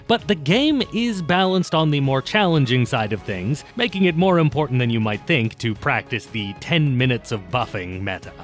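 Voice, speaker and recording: A man narrates a video essay in an informal, opinionated style. His mid-range voice moves up and down a great deal, giving the scripted lines a casual, conversational swing. Faint music plays behind him. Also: mid-range voice.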